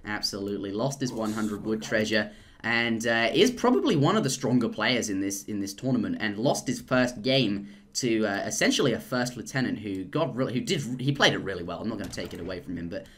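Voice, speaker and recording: A young man casting a live strategy game, rambling and jokey, and getting excited at big plays. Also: fairly low pitch, fairly quick. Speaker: esports caster.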